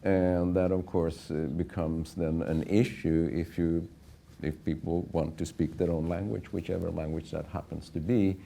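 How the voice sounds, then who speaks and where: A man with a very deep, gravelly voice speaks on a conference panel. His tone is dark and mellow, and he explains in a measured, careful way. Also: level tone. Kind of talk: panel discussion.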